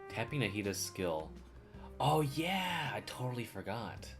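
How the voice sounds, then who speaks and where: A woman's voice: a bass voice, big pitch swings, unhurried, over music. A woman giving casual, unscripted live commentary as a game streamer, with loose phrasing and some swearing. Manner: casual, swearing, filler-heavy.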